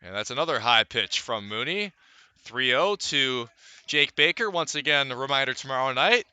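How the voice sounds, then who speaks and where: A man giving live radio play-by-play of a baseball game, calling it in measured, deliberate phrases with pauses. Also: mid-range voice, lively intonation, sharp tone, clean tone, no echo. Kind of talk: live radio sports commentary.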